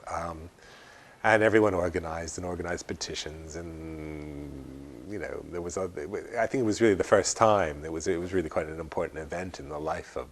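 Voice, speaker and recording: A man giving unscripted interview commentary in a deliberate, wry way, often halting and repeating words. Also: sits low, a little hiss or hum.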